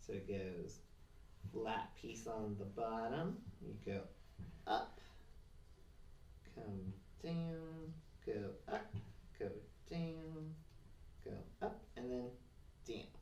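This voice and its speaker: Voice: medium pitch, very slow, long pauses, a little mellow. A craft presenter giving simple, encouraging step-by-step instructions to children. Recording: roomy, distant mic, faint noise and clicks.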